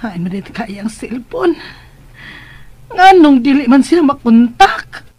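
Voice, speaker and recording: A woman's voice: high-pitched, sing-song, slow, full character voice. An actress with a Visayan Filipino accent playing a worried, reproachful mother in a radio drama. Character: worried, scolding mother of a missing young man.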